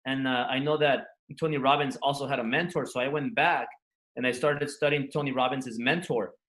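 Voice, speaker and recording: Brief: male guest, native Spanish speaker, raised in LA, earnest storyteller, mid pitch. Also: steady tone, fairly quick, fairly bright tone. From Los Angeles, USA. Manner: earnest, frank, loose run-on telling with restarts. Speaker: entrepreneur guest. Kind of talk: interview storytelling.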